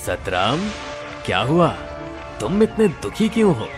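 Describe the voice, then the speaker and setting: A man voicing a kindly river god in a cartoon moral story, reassuring with calm authority. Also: mid-range voice, unhurried, over music. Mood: concerned, reassuring.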